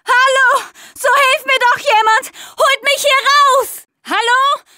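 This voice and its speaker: A young female survivor of a zombie apocalypse in a video game, tough and sardonic. Her voice is high and she takes her time over clipped, informal lines. The sound is dry, with no room around it. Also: fairly even intonation, slow pace, sharp tone, no echo, exaggerated voice. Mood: desperate calling. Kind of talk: German video game dub, short combat callouts.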